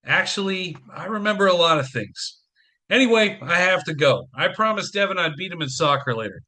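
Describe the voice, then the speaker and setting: A man's voice: sits high, expressive, fairly quick, bright, forceful tone, exaggerated voice. A man acting in an audio play as a harried, wry, affectionate businessman father with dry sarcasm. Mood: sarcastic.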